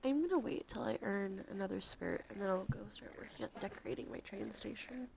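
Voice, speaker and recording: A woman hosting a cozy ASMR livestream, chatting casually in a soft, breathy, often half-whispered voice with a congested nose, in a laid-back, rambling way. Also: mid-range voice, fast. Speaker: livestream host. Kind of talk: cozy ASMR stream, casual chat to viewers. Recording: clear background noise, ambient sound.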